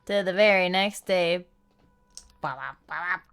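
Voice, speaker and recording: A woman's voice: fairly low pitch, a little slow, a bit bright, clear, resonant tone. A woman game streamer chatting casually on a livestream in an informal, rambling, giggly way. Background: faint game music.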